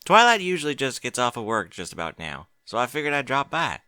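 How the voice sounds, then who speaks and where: A man's voice: mid-range voice, sing-song, fairly quick, sharp tone, clean tone, no room sound, studio quality, voice pushed up. Man voicing a plain-spoken country pony mare. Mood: sheepish, tired. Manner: folksy, colloquial, plain-spoken. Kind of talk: audiobook character dialogue.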